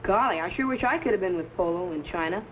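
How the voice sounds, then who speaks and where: An eager American teenage boy, high-pitched and earnest, in an old sci-fi serial. He is wide-eyed and respectful, heard through a dull, noisy vintage soundtrack. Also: expressive, quick pace. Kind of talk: 1950s sci-fi serial acting.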